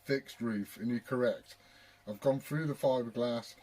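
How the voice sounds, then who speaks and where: A man's voice: medium pitch, measured pace, darkish tone. A British man chatting casually as a CB radio operator, blokey and sweary in his talk. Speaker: CB radio operator and video maker.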